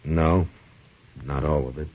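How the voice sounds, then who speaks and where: An actor playing a stern, middle-aged frontier marshal who speaks with firm, measured authority and narrates the story, in a 1950s radio drama. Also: male voice, very deep voice, fairly even intonation, slightly rough, dry recording, exaggerated voice. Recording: dull old radio broadcast recording with music.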